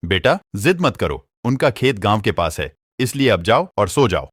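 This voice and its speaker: A man's voice: on the low side, big pitch swings, moderate pace, fairly bright tone, husky, no echo, full character voice. A man voicing a protective middle-aged farmer father in a cartoon, firm and fatherly when he scolds. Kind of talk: Hindi cartoon dubbing.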